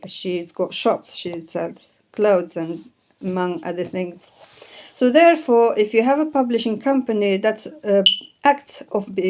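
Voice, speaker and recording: A woman in her fifties whose first language is Arabic talks through publishing and copyright in a loose, chatty way. She circles back with "so therefore" and stops to fix words halfway through. Her pitch sits mid-range for a woman. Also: mellow. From Arabic-speaking country. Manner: rambling, repeats "so therefore", self-corrects words. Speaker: self-published author. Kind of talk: casual explanatory talk, showing books.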